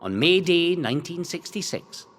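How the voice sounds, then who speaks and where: A man reading scripted historical narration in a measured, dramatic storytelling manner with emphatic phrasing. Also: a little high, very animated. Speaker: narrator of a local history series. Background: music bed.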